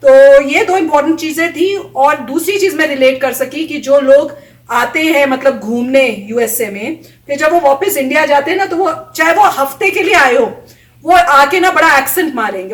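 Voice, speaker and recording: A chatty woman with a high voice tells anecdotes in a casual vlog and mimics what others said. She sits a little back from the camera mic, and the room around her rings with echo. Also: normal speed, recorded from a distance. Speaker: vlogger reacting to a video. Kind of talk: casual storytelling chat.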